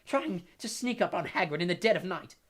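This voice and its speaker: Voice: medium pitch, animated, brisk, pitched above the performer's own voice, natural voice. A narrator voicing an emotional, earnest teenage schoolgirl in an audiobook story, gasping and crying out. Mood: indignant.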